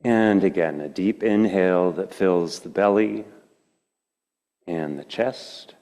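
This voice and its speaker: A man leading a guided meditation as a teacher, speaking in gentle, slow phrases with long pauses. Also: deep voice. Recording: reverberant hall.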